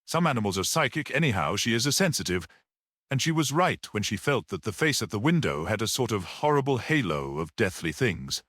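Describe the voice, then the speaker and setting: Guy doing a small calm priest sleuth in an audiobook, thoughtful and measured, really varied pitch, dry sound. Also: no room sound. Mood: composed, grave. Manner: composed, measured, reflective. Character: small, composed, philosophical priest detective, man. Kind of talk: classic detective audiobook character reading.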